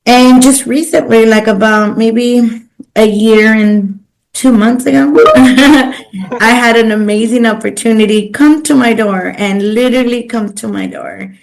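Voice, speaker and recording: A woman's voice: on the high side, steady tone, measured pace, creaky, rough recording. A woman who is a native Spanish speaker, talking earnestly and at length about her work as an interview guest. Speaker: podcast guest, pro bono legal coordinator. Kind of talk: conversational interview, personal career storytelling.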